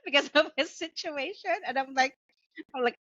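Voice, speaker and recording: A woman's voice: high-pitched, normal speed, pushed and bright, dry recording. A woman with a Filipino accent chatting casually as a co-host of a book review show. She talks with many fillers and restarts and laughs often. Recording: dull lo-fi dry sound.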